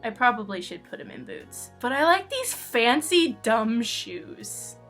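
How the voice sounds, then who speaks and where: Woman, higher-pitched voice, slow pace, giggly rambling host, casual, self-deprecating. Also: big pitch swings, a bit bright. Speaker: webcomic artist and channel host. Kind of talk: casual chat with sister, answering questions. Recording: music under the voice. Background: background music.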